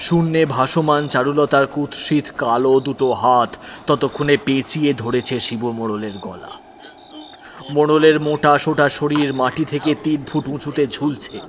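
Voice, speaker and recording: A man's voice: a little high, a little hiss or hum. Man narrating a ghost story. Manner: suspenseful, hushed at times. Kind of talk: dramatic horror narration.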